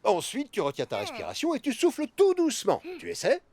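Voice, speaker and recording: Swooping, playful swimming-coach parent. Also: female voice, fairly high pitch, huge pitch swings, a little fast, darkish tone. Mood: encouraging. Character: playful, encouraging parent coaching children to swim. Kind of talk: dubbed children's cartoon acting.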